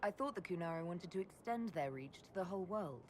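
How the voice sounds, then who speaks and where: Composed female commander , played by an actress, lower pitch, measured game dialogue over slight ambience. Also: animated, darkish tone, slight background noise, lightly acted. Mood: inquiring. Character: composed, serious female leader and hero. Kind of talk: video game dialogue acting.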